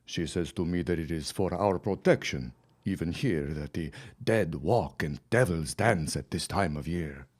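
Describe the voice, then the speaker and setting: A deferential, halting accomplice. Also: male voice, low voice. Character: hesitant immigrant accomplice, deferential. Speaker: Otto Zabansky. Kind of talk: radio drama acting.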